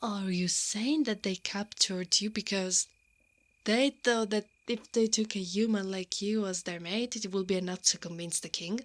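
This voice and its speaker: A woman acts out a brave lady knight in an intimate ASMR roleplay. She is brash, protective and quick to exasperation, with a mid-pitched voice. Her defiance tends to trip into flustered stammering that betrays a hidden soft spot. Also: close to an everyday voice. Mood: incredulous.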